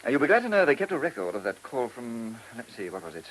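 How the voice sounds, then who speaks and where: Quick-talking eager British detective. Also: male voice, medium pitch, big pitch swings, rough recording. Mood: eager, efficient. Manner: bright-mannered, deferential yet cocky. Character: eager, smug, clever junior male police detective. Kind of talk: mid-century British radio drama acting. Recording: old radio recording with faint hiss.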